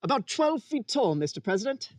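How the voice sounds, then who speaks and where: Woman playing a husky, prim male adviser. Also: fairly high pitch, sing-song, brisk, dry recording, studio quality. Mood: obsequious. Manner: deferential, prim, apologetic. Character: flustered, deferential male presidential committee adviser Casey. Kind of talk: old-time radio sketch comedy parody.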